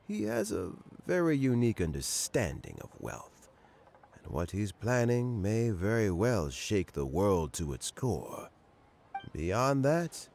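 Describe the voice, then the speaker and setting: Shrewd older game gentleman: very low, gravelly, formal, with lively inflection. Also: exaggerated voice. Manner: measured, formal. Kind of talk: video game voice acting.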